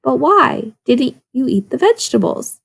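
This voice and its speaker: Woman voicing a grumbling, creaky old storybook wolf. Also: sits high, sing-song, measured pace, voice pushed up, exaggerated voice. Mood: surprised, pleased. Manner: growling, wheedling, grumpy. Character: grumbling, sly old male wolf with aching legs. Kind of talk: children's storybook read-aloud.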